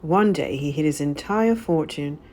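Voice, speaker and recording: A woman's voice: sits low, animated, unhurried, a little mellow, slight background noise. A woman voicing an earnest male train owner in a children's storybook, formal and explanatory.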